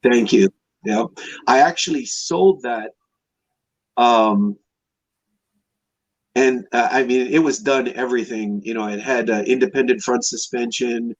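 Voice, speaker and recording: A man's voice: medium pitch, measured pace, long pauses, no echo. A man in his fifties from Hawaii, telling personal stories casually as a podcast guest, in a rambling, conversational way. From Hawaii, United States. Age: 50s. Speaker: podcast guest, classic car restorer. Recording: dull, dry lo-fi connection.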